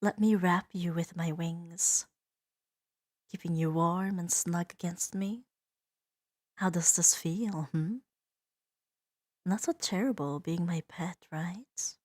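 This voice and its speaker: A woman voicing a seductive, possessive female demon in an intimate ASMR roleplay, teasing and soothing with soft dominance. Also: lowish voice, slow, long pauses. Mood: tender, hushed. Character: seductive, possessive female demon doting on her new pet.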